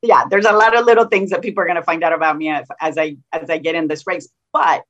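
Animated woman with a slight Colombian accent. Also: lowish voice, quick pace, dull audio. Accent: Colombian Spanish. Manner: animated and combative, frequent restarts. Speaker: state senator, political guest. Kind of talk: casual political interview.

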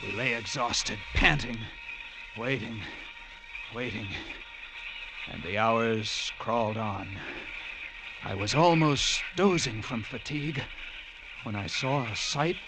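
An actor playing a haunted lighthouse keeper, narrating an old radio drama with intense, halting delivery and suspenseful pauses. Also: male voice, medium pitch, background noise. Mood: tense, exhausted. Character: haunted male lighthouse keeper recounting a grim ordeal.

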